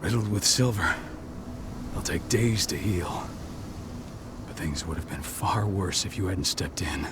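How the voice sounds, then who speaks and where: An actor playing a stern, weary pack leader and proud veteran warrior, grave and measured, in a video game cutscene. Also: male voice, low-pitched, expressive, background noise. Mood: weary, reproachful. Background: cinematic background music.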